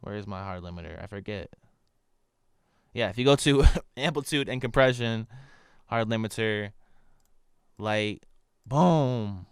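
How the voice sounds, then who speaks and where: Nasal-voiced man explaining in a smooth, dry voice. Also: on the low side, unhurried, pauses often, dry recording. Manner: relaxed, loose, with fillers and trailing asides. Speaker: audio tutorial host. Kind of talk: casual screen-recorded software tutorial. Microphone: studio condenser microphone.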